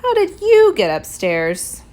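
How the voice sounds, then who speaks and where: A woman's voice: very high voice, wildly swooping pitch, slow, a little mellow, voice pushed up, exaggerated voice. A storybook night watchman acted out by a woman reader. He is puzzled and inquisitive, questioning and exclaiming as he talks. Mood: surprised. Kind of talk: children's storybook character voice.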